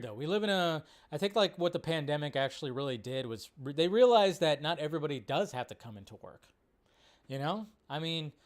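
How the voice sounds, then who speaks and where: A male livestream host with a smooth, clear voice pitched a little on the high side. He chats casually and rambles off the cuff, often repeating a phrase as he goes. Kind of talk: casual live chat, reading and answering viewer questions.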